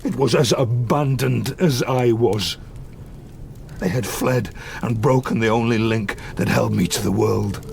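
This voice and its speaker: A lonely, embittered creature of gothic radio drama. His speech is slow and creaky, heavy with anguish and vengeance. Faint noise sits behind the voice. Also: mid-range voice, darkish tone. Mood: brooding, vengeful. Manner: slow, grave, anguished storytelling. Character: wretched, vengeful male man-made monster, lonely and bitter. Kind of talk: gothic audio drama acting.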